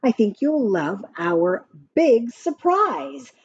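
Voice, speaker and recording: A woman reading a rhyming picture book aloud to young children in an expressive, sing-song way, with counting and little asides. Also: fairly high pitch, slow, creaky, slight background noise. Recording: muffled lo-fi audio with background music. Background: music from a picture-book app.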